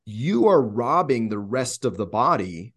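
A man's voice: medium pitch, sing-song, measured pace. A man co-hosting a podcast, speaking in a reflective, earnest way about faith. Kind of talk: casual podcast conversation.

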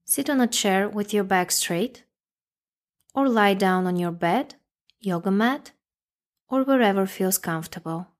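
A woman leads a guided meditation in a mid-pitched voice. Her delivery is calm and measured, leaving long pauses between short, simple instructions.

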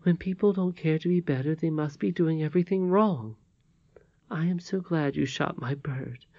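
A man voicing a kindly, mysterious old woman in a storybook, gently and firmly admonishing. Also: a little high, normal speed, gravelly, dry recording, muffled recording, pitched above the performer's own voice. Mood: gentle. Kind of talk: storybook character reading.

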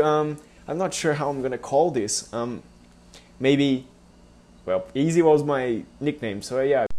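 Lift the voice a little and bring energy, with a Brazilian accent, as you welcome listeners to your new show. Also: male voice, a little high. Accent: Brazilian Portuguese. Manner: upbeat, energetic opening. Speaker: podcast host. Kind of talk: podcast intro monologue.

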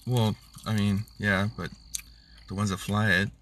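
A rambling, laid-back man with a lowish voice, swearing freely as he talks. He sounds a little far from the mic, with faint noise and crickets chirping behind him. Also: flat delivery, a little slow, a bit bright. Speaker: podcast co-host. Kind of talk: casual drunk chat.

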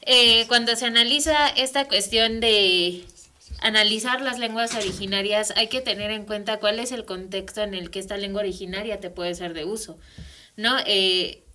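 A woman's voice: mid-range voice, bright, forceful tone. A Mexican woman with a Mexican Spanish accent, explaining language issues on an academic radio talk show and often checking in with tag questions. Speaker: guest speaker on language. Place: radio studio.

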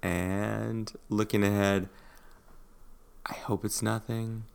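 A man with a very deep voice talks through his opinions in a casual, rambling way. He often restarts his sentences as he goes. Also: little pitch movement, takes it slowly, gravelly, clear, resonant tone. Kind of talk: casual chat.

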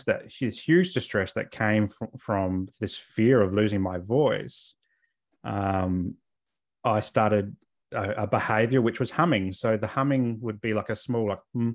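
A man speaking as an interview guest, telling his personal story in a candid, reflective and informal way. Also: fairly low pitch. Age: adult, over 28.

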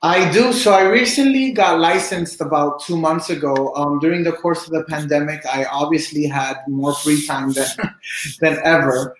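A young man from Cuba answers interview questions in a friendly, chatty way, with lots of ums. His voice sits fairly high for a man. The room is echoey. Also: moderate pace, a little hiss or hum. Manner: upbeat, chatty, many fillers. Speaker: bartender and real estate agent.

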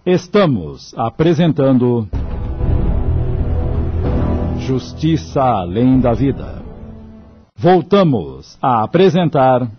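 An actor narrating a Brazilian radio novel as a measured, solemn storyteller. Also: male voice, medium pitch, big pitch swings. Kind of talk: scripted radio drama narration. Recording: dull radio audio, music behind.

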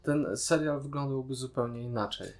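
A man with a medium-pitched voice talks as a podcast co-host. His delivery is casual and conversational, as in a relaxed discussion of a series. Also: normal speed.

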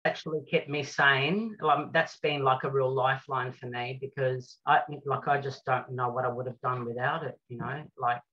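Bright, chatty woman on a lo-fi call. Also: extremely low pitch, steady tone, normal speed, few pauses. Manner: chatty, rambling with many fillers. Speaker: guest artist and cabaret singer. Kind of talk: casual video-call interview chat. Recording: lo-fi lockdown call audio.

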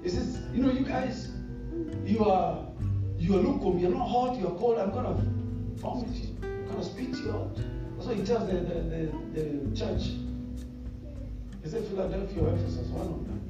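A man's voice: high voice, moderate pace, dull audio. A man with a Kenyan accent preaching a sermon, joking and calling out to the congregation. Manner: lively, joking, call-and-response with the congregation. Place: church hall. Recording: echoey hall from far off, music behind.

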